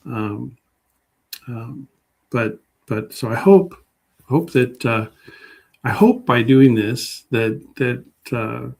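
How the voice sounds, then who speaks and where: A man with a medium-pitched voice talks as a guest historian. His delivery is casual and modest, and he often halts while thinking aloud. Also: takes it slowly, gravelly, pauses often, darkish tone. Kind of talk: casual interview chat.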